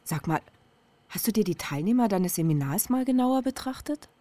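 A woman's voice: mid-range voice, expressive, normal speed, dark tone, dry recording, close to an everyday voice. A woman playing a blunt, sceptical activist in a radio crime drama, terse, dry and insistent. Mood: urgent. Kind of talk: German radio crime drama acting, intimate dialogue.

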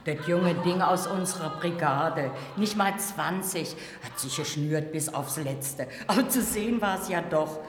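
A woman's voice: low voice, a little slow, few pauses, a crowd in the background. An actress playing a blunt, earthy working woman in a radio play, with a coarse, matter-of-fact manner. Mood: blunt, knowing. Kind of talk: 1980s radio play dialogue. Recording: echoey reverberant room, clear noise.

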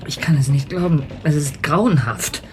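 An actress playing a horrified, disbelieving voice in a radio drama, breathy and drawing out her words. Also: deep voice, background noise, close to an everyday voice. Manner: drawn-out, breathy, appalled.

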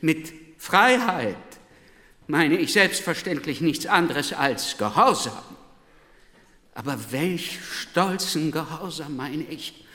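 A man's voice: on the high side, animated, slow, sharp tone, full character voice. A man acting a servile, nervous philosophy professor in a radio play, with obsequious, pedantic and flowery speech. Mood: fervent, deferential. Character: servile, nervous philosophy professor pleading before a high court. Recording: large hall with long reverb.

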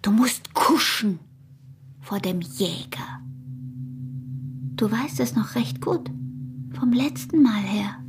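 Slow, teasing young peasant wife. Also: female voice, fairly high pitch, lively intonation, a little hiss or hum. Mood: taunting. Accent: Austrian. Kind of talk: radio drama acting.

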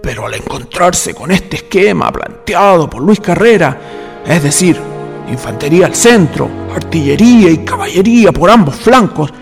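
Male narrator, high gravelly voice, dramatic historical storytelling, music behind, reverberant. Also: animated, moderate pace.

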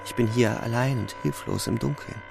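An actor playing a young German soldier who narrates a radio play inwardly, weary, anxious and guilt-ridden. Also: male voice, mid-range voice, steady tone, normal speed, vocal fry, music in the background. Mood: fearful, desperate.

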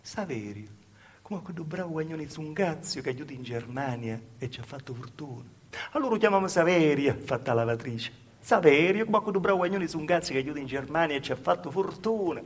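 A man's voice: a little high, animated, fairly quick, lightly acted. An actor playing a simple, devout, grieving Calabrian peasant mother, telling a rambling, repetitive story in a theatrical dialect monologue. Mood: dazed, anguished.

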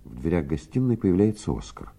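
A man's voice: deep voice, big pitch swings, a little fast, vocal fry, dark tone. A man narrating a 1970s Soviet radio drama in scripted style.

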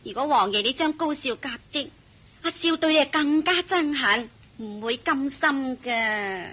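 An actress playing a scheming middle-aged brothel madam in a radio play, wheedling and sly. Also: female voice, high voice, measured pace, sharp tone. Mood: plaintive. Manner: wheedling, sly, laughs while she schemes.

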